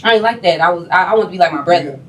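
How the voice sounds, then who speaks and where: Low-voiced woman telling stories animatedly. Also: very fast, recorded from a distance, a little hiss or hum. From Boston. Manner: animated storyteller, repeats phrases. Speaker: guest singer and rapper. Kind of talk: casual interview chat.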